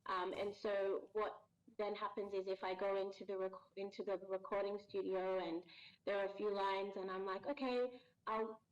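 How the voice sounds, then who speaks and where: A woman voice actor being interviewed as a guest, explaining her work in a measured, thoughtful way with many pauses. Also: medium pitch, little pitch movement. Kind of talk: casual interview chat. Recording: dull lo-fi sound.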